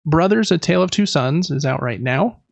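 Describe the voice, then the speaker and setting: A man's voice: on the high side, animated, a little fast, creaky, dry recording. A man hosting a casual gaming podcast, reading through news lists in a chatty, informal way with personal asides. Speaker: podcast host.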